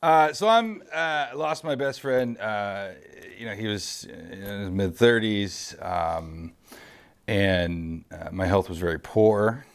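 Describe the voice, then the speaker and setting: A man's voice: on the low side, takes it slowly. A man in his early forties hosting a casual interview, rambling and correcting himself, laughing easily. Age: 40s. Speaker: host.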